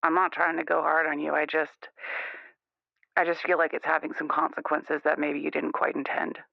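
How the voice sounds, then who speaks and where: A man's voice: a little high, monotone, moderate pace, creaky, no echo. A man playing a defensive, conformist young caller, insistent and rambling, in an improvised radio call-in drama. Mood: uneasy, defensive. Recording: band-limited, muffled phone-in radio sound.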